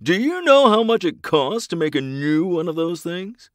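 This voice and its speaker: A man's voice: high-pitched, big pitch swings, moderate pace, dry recording, clean studio sound. A man playing a pompous, stubborn superhero in a comedy audio drama, grandiose and dismissive as he declaims. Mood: haughty, indignant. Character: pompous, stubborn male superhero, theatrical and self-important.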